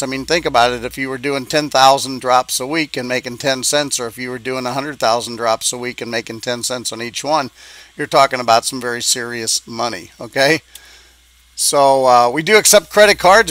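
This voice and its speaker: Bright-voiced man giving a sales pitch. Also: dry recording. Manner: halting, conversational, checking in with "okay?". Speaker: business coach pitching a marketing program.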